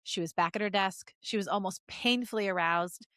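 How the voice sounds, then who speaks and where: A woman podcast host reading fan fiction aloud as an expressive story narrator. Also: medium pitch, moderate pace, dry recording, clean studio sound.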